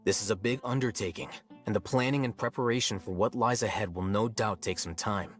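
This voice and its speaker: A man plays an aloof young scholar in an anime game. His voice is fairly low and deadpan, unhurried and faintly condescending, with soft music behind him. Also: close to an everyday voice. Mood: calm, measured. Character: aloof, dry-witted young male scholar.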